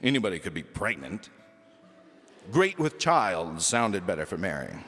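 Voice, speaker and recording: A man reading a children's story aloud as lively scripted narration. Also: low-pitched, moderate pace. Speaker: storybook reader. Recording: echoey hall reverb with music.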